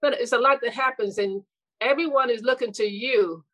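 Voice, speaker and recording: A woman's voice: on the high side, moderate pace, no room sound, rough recording. A middle-aged woman, a retired school administrator, talking earnestly and reflectively in an interview, often restarting her sentences. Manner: earnest, reflective, with frequent restarts and soft laughs. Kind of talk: casual interview conversation.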